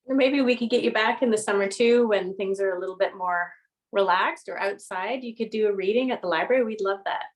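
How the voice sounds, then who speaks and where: A woman's voice: medium pitch, a little fast. A woman casually hosting a question session, informal and encouraging. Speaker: library event host.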